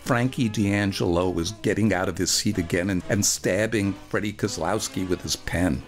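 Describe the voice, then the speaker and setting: A man performing a first-person story for radio, with a wry, animated and earnest delivery. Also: low voice, moderate pace, soft background music.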